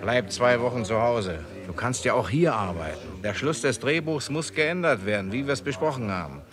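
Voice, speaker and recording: An actor playing an overbearing, grumbling film producer in a 1960s radio drama, with people chattering in the room. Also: male voice, mid-range voice, animated, normal speed, crowd noise, close to an everyday voice. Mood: bossy, exasperated.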